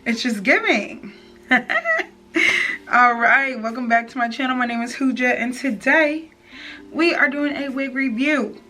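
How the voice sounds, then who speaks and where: A woman's voice: high voice, lively intonation, slow, long pauses, pushed and bright. A young woman beauty vlogger talking casually and informally to her viewers in a slangy, chatty tutorial style. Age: young adult. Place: small indoor room with plain wall. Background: background music.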